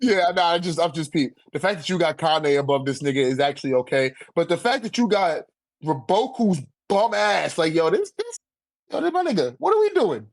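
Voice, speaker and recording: A man's voice: on the high side, very animated, a little fast, dry recording. A man arguing casually and heatedly, with emphatic, repetitive, profane slang. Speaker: co-host debating anime character rankings.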